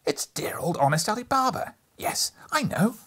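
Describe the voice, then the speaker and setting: Brief: old miser, as a male reader puts him on, husky, high and loud bursts, breathy whispers, near tears. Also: little pitch movement, a little fast, full character voice. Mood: ecstatic. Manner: between laughing and crying, swings to whispers. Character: old miserly man, softened, excited by memories. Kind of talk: storybook reading.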